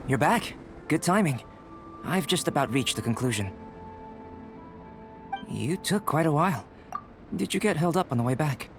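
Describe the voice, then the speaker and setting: Calm, scholarly game alchemist. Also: male voice, fairly high pitch. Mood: composed, welcoming. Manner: measured, formal, thoughtful. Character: calm, scholarly young male alchemist, polite and curious. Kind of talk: English video game character dialogue. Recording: music behind the voice.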